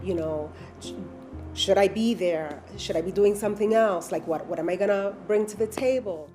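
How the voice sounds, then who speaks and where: A woman's voice: medium pitch, moderate pace, warm tone, faint music behind. A woman talking thoughtfully in a conversation, reflecting on shared experiences.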